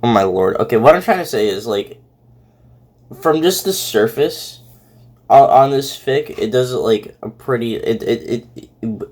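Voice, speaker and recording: A man with a mid-pitched voice talks off the cuff as a reaction host. He rambles and halts, circling back over his words in a casual, conversational style. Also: lively intonation, a little mellow. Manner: halting, rambling, heavily repeating words. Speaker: host reacting to a fan fiction audio reading. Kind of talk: casual, unscripted reaction commentary.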